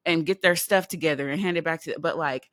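A woman's voice: low-pitched, a little fast, a bit bright, dry recording, studio quality. A middle-aged woman from California co-hosting a podcast in casual chat, laughing often and swearing freely. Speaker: podcast co-host.

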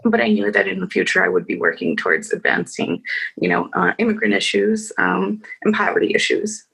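A woman from Chicago speaks as a lawyer on a panel, her voice gravelly and a little husky. She talks haltingly, circling back with fillers and restarts. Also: mid-range voice, lively intonation, a bit bright, rough recording. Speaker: attorney on a panel. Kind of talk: panel discussion answer.

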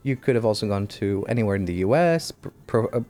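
A man's voice: fairly low pitch, animated, fast, dark tone. A man hosting a casual chat, joking and rambling with frequent restarts. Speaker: host. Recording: faint background noise.

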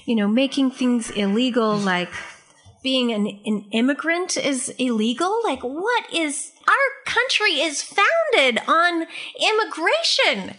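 Bright, outspoken woman co-host. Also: high-pitched, very animated, takes it slowly. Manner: outspoken, emphatic. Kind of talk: casual talk show.

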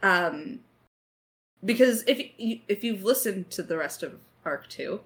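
A woman in her twenties chatting casually as a writer in a creator Q&A, candid and enthusiastic, quick to laugh. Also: medium pitch, lively intonation, a bit bright. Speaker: audio drama writer in a creator Q&A. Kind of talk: casual chat.

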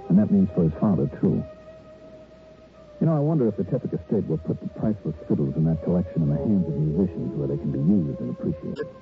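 A man's voice: low voice, huge pitch swings, fast. An actor playing a wry, unruffled investigator who narrates his case conversationally in an old radio detective drama. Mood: wry, easy. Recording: tinny old radio broadcast with music.

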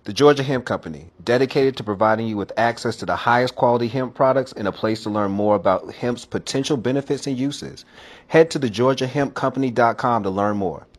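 A man reading a scripted sponsor announcement in a smooth, even promotional delivery. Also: medium pitch, brisk. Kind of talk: scripted ad read.

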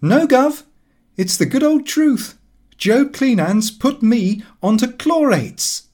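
A man's voice: very high-pitched, lively intonation, slow pace, a little mellow, voice pushed up, full character voice. A man voicing a brash, breezy young clerk's son full of slang and self-assurance in an audiobook. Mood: triumphant.

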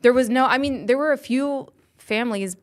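A woman's voice: fairly high pitch, a little fast. A young woman from Colorado, a comedian guest, chatting on a podcast and telling stories with lots of fillers. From Colorado, USA. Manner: chatty, animated storytelling with many fillers. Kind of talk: casual podcast chat.

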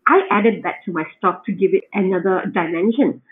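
A woman's voice: mid-range voice, a little fast. A woman from Singapore with a Singaporean accent, a home cook chatting as a podcast guest, explaining with frequent restarts. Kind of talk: conversational interview. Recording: tinny phone-like remote line.

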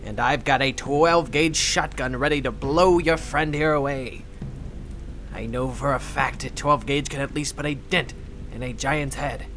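A defiant man on the run as an actor plays him speaks bluntly in a sharp, forceful, mid-range voice. He sounds wary and suspicious, an audio drama fugitive with faint background noise. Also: mid-range voice, fairly even intonation, a little slow. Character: wary, defiant male fugitive holed up with shotgun. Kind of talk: scripted audio drama acting.

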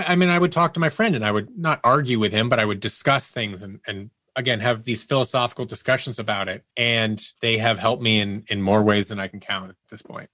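A man's voice: mid-range voice, pushed and bright, no room sound, clean studio sound. A man speaking as a podcast guest, telling personal anecdotes in a candid, casual way. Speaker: podcast guest, audio producer.

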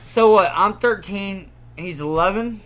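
A man in his thirties chatting casually and telling personal stories in a rambling, animated, profane way. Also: high-pitched, unhurried. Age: 30s. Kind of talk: casual chat, personal anecdotes.

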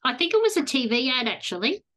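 An Australian woman guesting on a podcast, chatting in a relaxed way and laughing often. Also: sits high, quick pace. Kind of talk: casual interview chat.

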